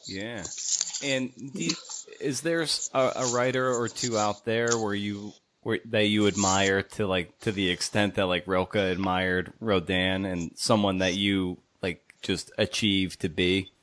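A man's voice: on the low side, steady tone, measured pace, a bit bright, slight background noise. A man hosting a casual podcast interview, informally feeling his way through his questions. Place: home office.

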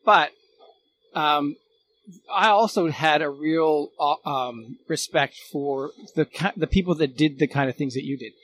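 A man's voice: fairly high pitch, measured pace, fairly bright tone, low-quality recording. A man speaking as a guest in casual chat, in a hesitant, considered way. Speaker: guest, former radio recording technician. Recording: noticeable background noise.

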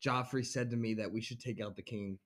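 A man's voice: medium pitch, steady tone, fairly quick. A man co-hosting a podcast, chatting casually about a TV episode in a joking way and acting out reactions. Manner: joking, mimics characters' reactions. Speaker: podcast co-host. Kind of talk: casual chat reviewing a TV episode.

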